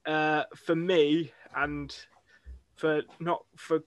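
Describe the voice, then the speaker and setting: Higher-voiced young man with flat intonation. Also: very slow, clean tone. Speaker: football podcast host. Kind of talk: casual sports chat.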